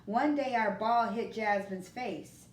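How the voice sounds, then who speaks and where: A woman reading a picture book aloud, slow and expressive, sometimes dropping to a hush, in a roomy recording from a distance. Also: on the high side. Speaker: storyteller.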